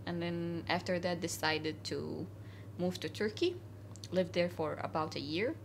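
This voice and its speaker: Young woman from syria chatting in a cafe, lowish voice, lots of uh and like, faint room hum. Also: a little slow, creaky. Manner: hesitant, many fillers, earnest. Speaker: interview guest. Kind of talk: casual conversational interview. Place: cafe, indoors.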